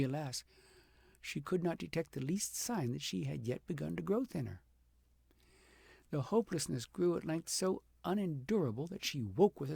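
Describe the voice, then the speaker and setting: A man reading a fairy tale aloud as an expressive, dramatic audiobook narrator, with a gravelly, slightly husky voice. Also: a little high, no room sound, clean studio sound. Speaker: audiobook reader.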